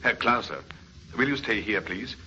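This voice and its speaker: An actor playing a deferential but insistent servant in old radio drama, in a husky voice. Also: male voice, on the low side, moderate pace, sharp tone, a little hiss or hum. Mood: dutiful. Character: anxious, dutiful male servant of a baron. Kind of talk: 1950s radio drama acting. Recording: muffled old radio recording.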